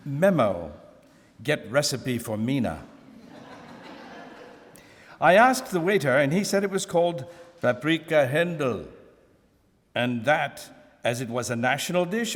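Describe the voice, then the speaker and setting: A man reading a classic novel aloud at a live museum event, in a measured, expressive first-person narration. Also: a little high. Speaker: reader. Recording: long hall reverb.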